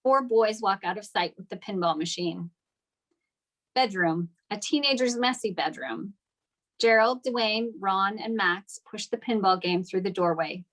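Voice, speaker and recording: A woman's voice: medium pitch, measured pace, a bit bright, poor audio. A woman narrating the stage directions of a screenplay at a table read.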